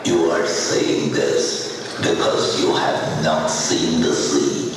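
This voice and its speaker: Man voicing a deep, slow, courteous sea frog. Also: flat delivery, background noise. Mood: patient, exasperated. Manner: courteous, explaining, mildly exasperated. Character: polite, patient frog from the sea. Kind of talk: puppet show character acting. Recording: very echoey hall, distant, loud music. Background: loud music.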